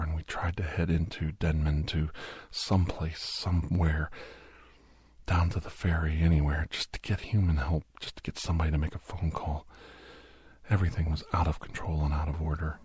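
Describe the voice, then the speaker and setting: Low, whispery man telling dark tales. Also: flat delivery, dry recording. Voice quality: breathy, whispery. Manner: solemn, dramatic, deliberate. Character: male doctor solemnly recounting a werewolf horror tale. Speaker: storyteller. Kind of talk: whispered ASMR horror storytelling. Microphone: close microphone.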